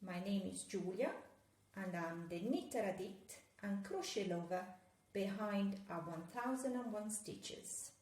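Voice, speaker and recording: Italian-accented woman, relaxed craft teacher, slow and warm delivery, roomy sound. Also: medium pitch. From Italy. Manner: playful, chatty, gently joking instructions. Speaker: crochet teacher. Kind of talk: step-by-step craft tutorial.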